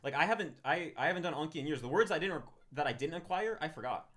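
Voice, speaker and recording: A man chatting casually on a live stream about language learning, talking in quick bursts and often restarting his sentences. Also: fairly high pitch, lively intonation, a bit bright. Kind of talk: casual livestream chat. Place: room in Tokyo, live stream.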